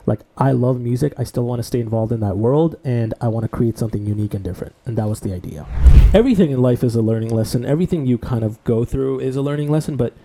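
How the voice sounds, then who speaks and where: A man from Bangladesh, co-host of a podcast, telling his life story in a relaxed, rambling way. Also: mid-range voice, quick pace, hardly any pauses, a little hiss or hum. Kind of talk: casual podcast conversation.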